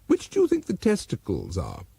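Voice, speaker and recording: A man's voice: lowish voice, huge pitch swings, moderate pace, creaky, warm tone, slightly rough, no echo, voice pushed down, heavily acted. A man voicing a prim, icy elderly spinster. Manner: cold, prim.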